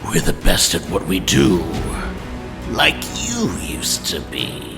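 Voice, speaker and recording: A man playing a savage, sadistic mutant villain in an audio drama, taunting with a snarling, menacing rasp. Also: low-pitched, huge pitch swings, full character voice. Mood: smug menace. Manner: menacing, mocking, drawn-out. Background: dramatic music.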